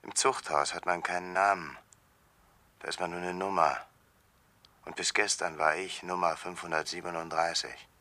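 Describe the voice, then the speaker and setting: An actor playing a bitter, mocking escaped convict out for revenge in a radio crime drama, sardonic and deliberate, with a gravelly voice. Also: male voice, low-pitched, steady tone, bright, forceful tone. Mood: sardonic menace.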